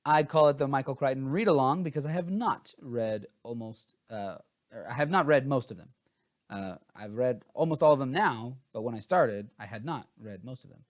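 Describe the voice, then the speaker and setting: A man's voice: medium pitch, normal speed, muffled recording. A man chatting casually as he hosts a book review, informal and a bit scattered and hesitant, sounding tired.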